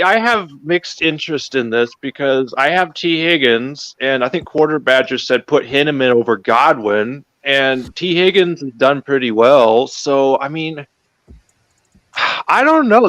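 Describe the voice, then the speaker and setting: A man's voice: mid-range voice, a bit bright, no room sound, poor audio. A man on a fantasy football panel chatting casually about games in a hesitant, undecided way. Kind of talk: casual sports chat. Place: online livestream group call.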